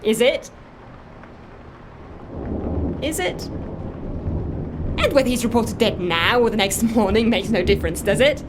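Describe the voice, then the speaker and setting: A woman's voice: on the high side, lively intonation, normal speed, background noise, natural voice. An actress playing an anxious, self-justifying woman in an audio drama, telling her story in a fussy, emphatic, defensive way. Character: anxious, self-justifying woman confessing impersonation, earnest dog lover. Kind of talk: audio drama dialogue, first-person confession.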